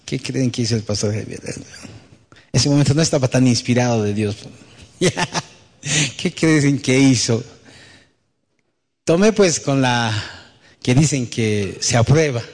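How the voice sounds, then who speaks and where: A man's voice: mid-range voice, lively intonation, takes it slowly, pauses often, a little mellow. Male pastor preaching in an echoey hall. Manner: rhetorical questions and tag questions to listeners; acts out quoted dialogue. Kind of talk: conversational sermon with anecdotes. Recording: echoey hall with long reverb.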